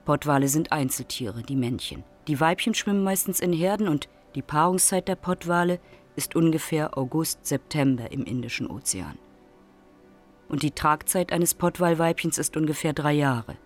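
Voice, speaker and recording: An older man, a former seaman, recalls the sea in spontaneous, discursive and marvelling speech full of vivid description. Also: mid-range voice. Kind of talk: spontaneous spoken reminiscence in a radio feature.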